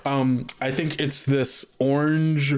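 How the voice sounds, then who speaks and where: A man's voice: mid-range voice, level tone, slow pace. Hesitant man improvising as game master. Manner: hesitant, thinking aloud, trailing off. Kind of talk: casual improvised narration for a tabletop roleplaying podcast.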